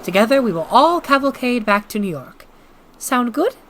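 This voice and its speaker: A woman voicing a calm, sensible female ocean liner who talks things through. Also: fairly high pitch, normal speed. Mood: reassuring.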